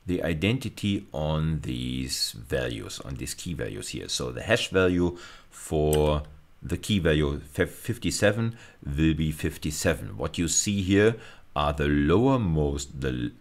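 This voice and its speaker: A man's voice: rumbling low, gravelly. A middle-aged man giving an explanatory recorded lecture, working methodically step by step and repeating key phrases for emphasis. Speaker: university lecturer.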